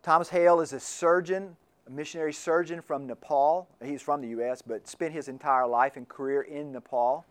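Man, slightly high voice, informal classroom lecturer, talks in restarts and asides. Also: steady tone, moderate pace. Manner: conversational, repeats words while restarting sentences. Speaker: lecturer on missions and medicine.

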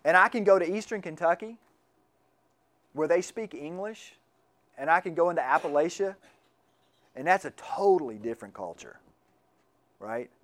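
Man, slightly high voice, informal classroom lecturer, talks in restarts and asides. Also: lively intonation, moderate pace, pauses often, fairly bright tone. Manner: conversational, repeats words while restarting sentences. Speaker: lecturer on missions and medicine.

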